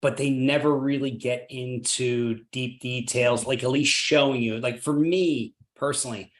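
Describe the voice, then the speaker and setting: A man's voice: mid-range voice, fairly even intonation, measured pace. A man hosting a podcast interview, upbeat and encouraging, often tagging sentences with "right?". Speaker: podcast host, real estate investor.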